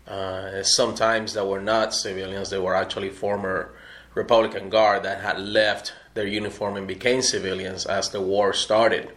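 Man, middle-aged, from Puerto Rico, army veteran, low voice, measured, pausing with um and uh. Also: a bit bright. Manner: measured, many pauses with um and uh. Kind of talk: oral history interview.

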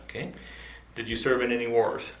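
A man's voice: mid-range voice, flat delivery, normal speed. A man interviewing a veteran for an oral history project, asking brief, plain questions. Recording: roomy echo, faint ambience.

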